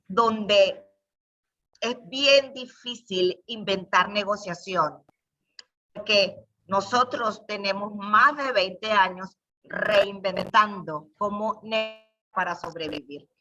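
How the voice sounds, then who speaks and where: Bright-voiced, slow-paced mature Venezuelan woman. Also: medium pitch, distant mic. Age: middle-aged or older. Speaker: participant giving thanks. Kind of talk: reflective, heartfelt talk. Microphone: video call. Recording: dull video-call audio.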